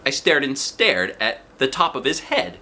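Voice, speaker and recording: A man reading a children's book aloud with expressive narration. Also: mid-range voice, moderate pace. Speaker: book reader.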